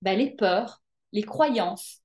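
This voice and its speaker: Lively woman chatting as guest. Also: mid-range voice, very slow. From Belgium. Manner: lively, emphatic, frequent hesitations and filler words. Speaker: podcast guest, coach. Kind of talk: casual interview chat.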